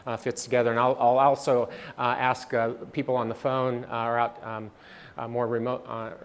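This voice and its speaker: Halting man presenting slides. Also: medium pitch, level tone. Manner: halting, many fillers and restarts. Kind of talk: presenting slides at a livestreamed training session.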